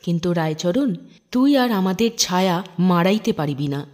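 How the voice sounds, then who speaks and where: A woman's voice: fairly low pitch, lively intonation, moderate pace, darkish tone, voice pushed down, close to an everyday voice. A woman playing a stern, righteous male magistrate in a storybook, questioning curtly and commandingly. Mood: stern, annoyed.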